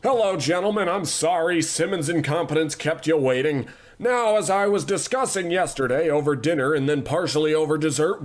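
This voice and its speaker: A man's voice: fairly high pitch, close to an everyday voice. An actor playing a pompous, ruthless rich tycoon in a radio-style comedy, talking down to his staff with sinister muttered asides. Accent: New England. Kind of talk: old-time radio comedy acting.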